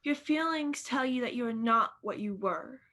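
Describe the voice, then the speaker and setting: A woman's voice: on the high side, lively intonation, moderate pace, sharp tone. A young woman playing a sorrowful, dignified fiancée in a radio play. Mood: sad, reproachful.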